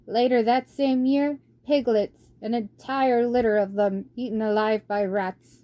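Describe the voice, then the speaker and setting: A woman voicing an ancient, fussy and forgetful male mouse scholar who squeaks barely above a whisper and mutters to himself. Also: mellow, lightly acted. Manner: doddering, muttering hmm and tutting. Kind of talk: audiobook character voice.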